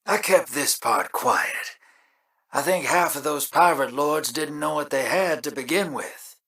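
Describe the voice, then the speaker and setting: A wry husky outlaw ally. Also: male voice, a little high, a little slow, bright, forceful tone, full character voice. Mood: hushed. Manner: wry, drawling. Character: roguish male outlaw ally, easygoing, wry. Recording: long hall reverb.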